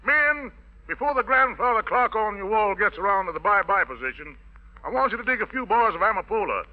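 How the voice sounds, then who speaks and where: A man with a high-pitched voice acts as master of ceremonies on a wartime-era radio variety show. He announces in ornate, slangy showman's patter. The sound is dull and lo-fi, like an old broadcast. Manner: florid jive-talk showmanship.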